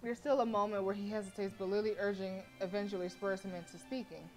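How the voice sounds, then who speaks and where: A woman livestreamer reading a visual novel aloud with informal, expressive commentary. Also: mid-range voice, fast talker, soft background music. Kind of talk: casual game commentary and read-aloud narration.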